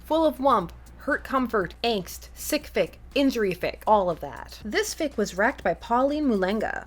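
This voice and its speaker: A woman hosting a chatty fan fiction recommendation show in a playful, emphatic way. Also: fairly low pitch. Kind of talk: semi-scripted presenting to camera, chatty.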